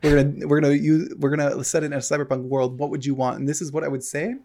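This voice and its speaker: A man's voice: fairly high pitch, little pitch movement, fast talker, darkish tone. An American man co-hosting a casual podcast, rambling with lots of fillers and restarts. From United States. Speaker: podcast co-host.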